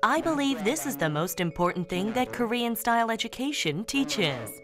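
A woman reading a documentary narration in an even, formal manner. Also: fairly high pitch, normal speed. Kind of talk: scripted narration. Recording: voice-over with music underneath.

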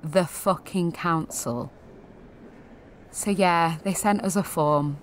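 A woman's voice: fairly low pitch, slow, a little hiss or hum. An actress in her twenties playing a jaded, sweary, sensitive gallery attendant in a confessional audio drama monologue, wry and self-deprecating. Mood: bitter, resigned. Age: 20s.

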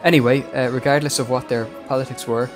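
Man narrating history over loud music. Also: medium pitch, fairly even intonation, fast talker. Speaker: podcast host and narrator.

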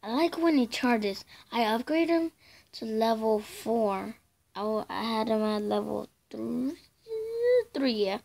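Raspy woman channel host, slow and hesitant, mumbling asides between louder bursts. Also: medium pitch. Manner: halting and filler-heavy, drifting between loud bursts and mumbles. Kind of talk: casual game commentary over a screen recording.

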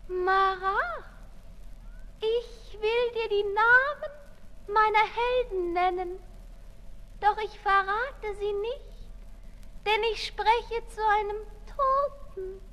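Very high-pitched, trancelike young assassin. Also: female voice, slow, pauses often, sharp tone, a little hiss or hum, heavily acted. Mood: trancelike, grieving. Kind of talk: 1960s German radio drama acting. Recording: 1960s radio recording with music.

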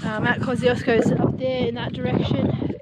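A woman vlogging outdoors in the snow, chatting upbeat to a handheld camera, with wind rushing over the mic. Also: on the high side, little pitch movement. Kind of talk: casual travel vlog chat. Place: outdoors on a windy snowy mountain. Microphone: handheld action camera.

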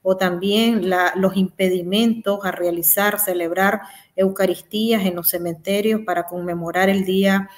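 A woman's voice: mid-range voice, steady tone, normal speed. A young woman, a lawyer, speaking earnestly over a video call from a small room, sometimes searching for words. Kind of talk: remote interview.

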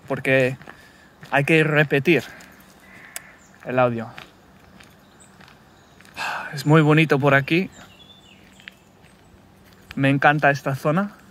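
Slow, halting Englishman walking outdoors. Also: a little high. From England. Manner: halting, self-correcting, searching for words. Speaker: language learner recording a practice podcast. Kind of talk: casual spoken practice monologue. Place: wooded countryside path outdoors. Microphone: phone held at arm's length while walking.

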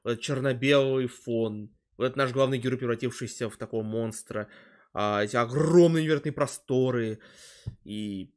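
A man's voice: medium pitch, moderate pace. A man in his twenties giving casual reaction commentary as a streamer, speaking close to a desk microphone.

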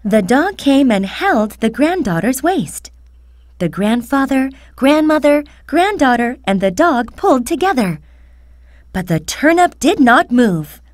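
A woman narrating a children's fairy tale, speaking carefully and deliberately as a storyteller. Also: high voice, very animated, no echo, studio quality. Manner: slow, careful, deliberate enunciation.

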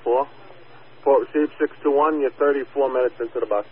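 A man's voice: medium pitch, fairly even intonation, a little fast, breathy edge. A man working as a fire dispatcher, giving clipped, procedural radio messages over a phone-like channel. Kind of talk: terse radio dispatch talk. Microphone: two-way radio system. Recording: noisy, phone-like emergency radio dispatch audio.

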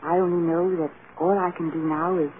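An actress playing a devoted, anguished film star wife in an earnest, emotional 1930s radio drama style. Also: female voice, lowish voice, fairly even intonation, fairly quick, natural voice. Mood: sad, resolved. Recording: scratchy, tinny 1930s radio broadcast.

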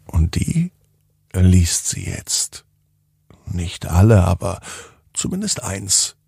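Male children's storyteller: slow, playful bedtime narration, husky tone, very dry sound, dramatic pauses. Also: sits low, big pitch swings, a little mellow, dry recording. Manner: deliberate, playful, with drawn-out words and dramatic pauses.

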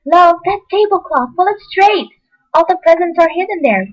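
A performer playing an excitable, fussing character in an amateur radio play. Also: very high voice, moderate pace, muffled recording. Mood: flustered, excited.

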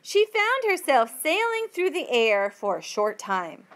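A woman reading a textbook passage aloud as a teacher would, with careful, deliberate phrasing. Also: high voice, big pitch swings, fairly bright tone.

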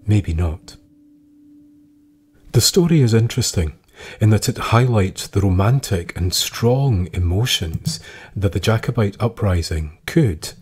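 A man with a low, husky voice narrates a scripted tale. He speaks slowly and deliberately, setting phrases apart with dramatic pauses. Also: a little mellow. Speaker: podcast writer and narrator.

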